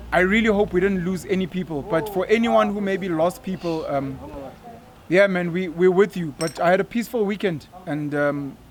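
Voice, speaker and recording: A South African man from KwaZulu-Natal, a podcast guest, speaking in a calm, earnest way. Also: sits high. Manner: calm and earnest, many short affirmations. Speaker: podcast guest, life coach. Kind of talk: casual podcast chat.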